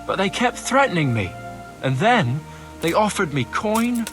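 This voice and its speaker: A high-voiced, whining young turncoat. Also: male voice, sing-song, measured pace, music in the background. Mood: pleading, scared. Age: young adult. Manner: whining, foul-mouthed, wheedling. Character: cowardly, roguish young turncoat, pleading for his skin. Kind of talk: video game cutscene acting.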